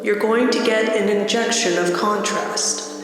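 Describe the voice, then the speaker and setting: A woman's voice: medium pitch, fairly even intonation, a little slow, pitched below the performer's own voice. A woman voicing a businesslike adult female nurse in a story, instructive and using patronizing endearments, with heavy reverb. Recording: very echoey long reverb, music behind.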